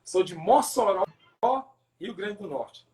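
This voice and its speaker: A man from Pará with a northern Brazilian accent telling his personal testimony as a pastor in a casual interview, haltingly, repeating words and adding tag questions. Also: high voice, darkish tone. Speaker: pastor, podcast guest.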